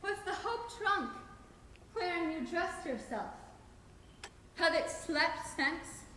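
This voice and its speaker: A woman's voice: extremely high pitch, expressive, slow, sharp tone, a little hiss or hum, pitched above the performer's own voice, full character voice. A woman performing a scheming wife who scornfully goads her husband in a Shakespearean stage scene. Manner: scornful, challenging. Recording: echoey reverberant hall.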